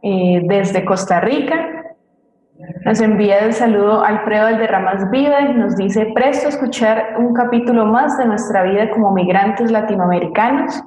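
A woman's voice: mid-range voice, moderate pace, a little mellow. Woman in very echoey audio. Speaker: co-host reading audience greetings. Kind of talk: reading out live audience messages. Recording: very echoey, noisy booth audio.

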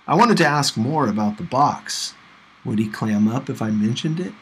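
A man reading a novel aloud in an expressive storytelling style. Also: medium pitch, moderate pace, a little hiss or hum. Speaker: audiobook reader.

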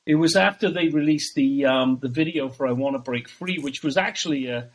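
A man's voice: fairly high pitch, fairly quick, rough recording. A man from Wales, chatting casually as a guest on a podcast and laughing between his stories. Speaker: guest, tribute band singer.

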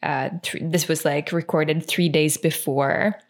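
A woman's voice: low voice, steady tone, a little slow. A woman co-hosting a podcast in casual chat, speaking hesitantly and searching for words. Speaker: podcast co-host.

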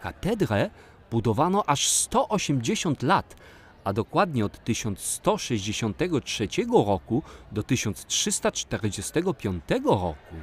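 A man's voice: mid-range voice, sing-song, a little slow, breathy edge. An actor playing a kindly, patient man who explains and encourages as a guide in a children's audio play. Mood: patient, explanatory.

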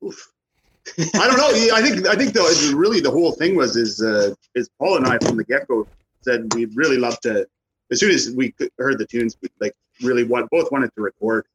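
A man's voice: medium pitch, normal speed, noisy background. A man, a band member chatting casually in an interview, rambling and easygoing. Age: 40s.

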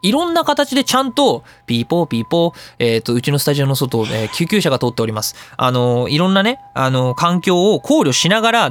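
A man's voice: high voice, a bit bright. A man hosting a radio talk show, casually and emphatically explaining things. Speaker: radio show host and sound director.

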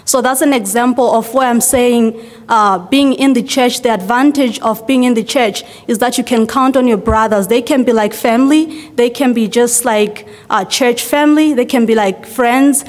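A young woman from Zimbabwe speaking to her congregation in a loose, chatty way, leaning on "like" and "uh". Her voice sits a little high. It carries the long reverb of a church hall. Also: normal speed. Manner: chatty, many fillers like "like" and "uh". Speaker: church member giving a testimony. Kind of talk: casual spoken testimony to a congregation.

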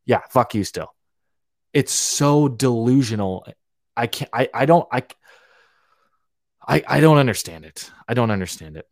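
A man's voice: medium pitch, lively intonation, unhurried, long pauses, no room sound. Young guy ranting hard on his podcast, swears a lot, trips over his words, dry close sound. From Romania. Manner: heated, ranting, repeats and restarts words, swears freely. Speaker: podcast host. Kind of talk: opinionated monologue.

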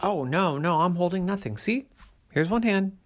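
A man's voice: high-pitched, animated, moderate pace, voice pushed up. A man voicing a sheepish bear in a bedtime story who stammers and fibs nervously. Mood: flustered. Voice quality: hesitant, stammering. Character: sheepish bear, fibbing and flustered.

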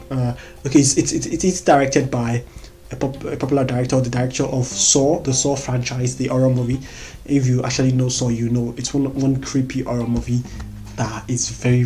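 A Nigerian-accented vlogger giving casual movie recommendations in a chatty, excitable way, laughing often and repeating words. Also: medium pitch.

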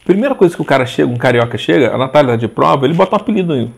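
A man in his forties, a guest in a casual interview, talking in a rambling, animated way with frequent restarts. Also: medium pitch, very fast, vocal fry, slightly rough. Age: 40s. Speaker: interview guest, social media page owner.

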